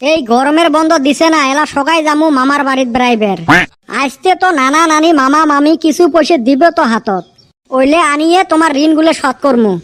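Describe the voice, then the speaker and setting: A woman's voice: high voice, a little fast, close to an everyday voice. A woman voicing a cheeky, teasing, freeloading village youngster in a comedy cartoon, with a northern Bangladeshi Rangpuri accent. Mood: playful. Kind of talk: comedy cartoon voice acting in rural dialect.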